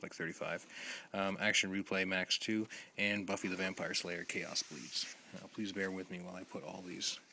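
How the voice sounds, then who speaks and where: A man with a hoarse voice talking quietly and rambling with many ums as he lists items for his vlog. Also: sits low, noisy background. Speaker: video collector host. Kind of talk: casual vlog, listing items.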